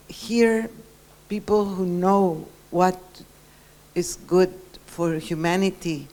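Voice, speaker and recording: A middle-aged woman from Peru gives an earnest reflection to a conference workshop, pausing often as she speaks. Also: mid-range voice, darkish tone, poor audio.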